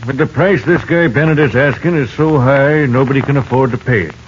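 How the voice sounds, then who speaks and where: A man's voice: mid-range voice, a little fast, natural voice. An American man playing a plain-spoken, worried sheriff in a 1950s Western radio drama. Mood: concerned. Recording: dull 1950s radio recording, faint noise.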